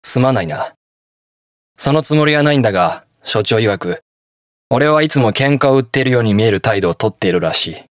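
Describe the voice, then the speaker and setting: Game hero, male actor: stern young police detective in armor, gruff and defiant, very animated pitch, dry recording. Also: brisk, no echo, natural voice. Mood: stern, resolute. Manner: gruff, terse, defiant delivery. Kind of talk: video game battle voice lines in Japanese hero-show acting.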